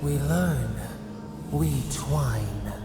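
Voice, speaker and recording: A slow, heavily creaking spirit voice from a video game, otherworldly and cryptic. It intones like an incantation beneath loud music. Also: mid-range voice, expressive, exaggerated voice. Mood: eerie, cryptic.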